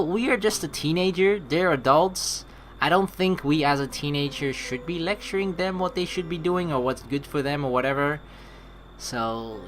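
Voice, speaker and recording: A man doing game commentary as a let's player, reading narration and lines aloud in an animated, rambling, informal way. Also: a little high, a little hiss or hum.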